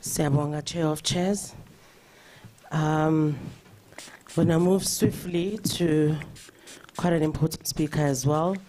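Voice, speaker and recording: A man directing a funeral programme in a brisk, formal way under an open thatched shelter. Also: a little high, steady tone, mellow, slight background noise. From South Africa. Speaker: master of ceremonies.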